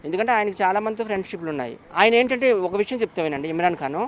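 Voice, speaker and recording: A man's voice: high-pitched, lively intonation, very fast, a little hiss or hum. A man speaking as an interview guest, giving his opinions emphatically and often repeating phrases. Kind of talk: animated opinionated interview talk.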